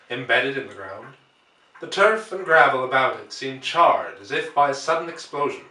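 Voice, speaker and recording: Bright-voiced man narrating in a roomy space. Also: medium pitch. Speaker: audiobook reader. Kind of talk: dramatic literary narration. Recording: roomy, distant microphone.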